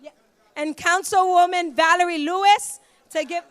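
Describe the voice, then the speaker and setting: Female emcee from Boston, Haitian Creole first language, very high and upbeat. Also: fairly even intonation, normal speed, a bit bright. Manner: upbeat, rallies the room with "give it up". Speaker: master of ceremonies. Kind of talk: gala hosting. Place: hotel banquet hall.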